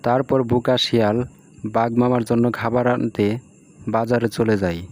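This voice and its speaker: Flat-toned male cartoon storyteller. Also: medium pitch, moderate pace, no room sound. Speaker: narrator. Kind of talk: scripted storybook-like cartoon narration.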